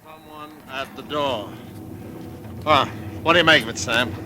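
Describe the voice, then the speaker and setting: An actor playing a brisk, emphatic investigator in 1940s radio drama style. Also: male voice, medium pitch, noisy background, natural voice. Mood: brisk, intent.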